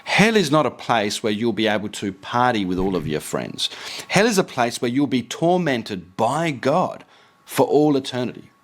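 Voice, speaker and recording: A man's voice: medium pitch, big pitch swings, moderate pace. Earnest man preaching and reading aloud. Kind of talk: preaching commentary with read-aloud quotations.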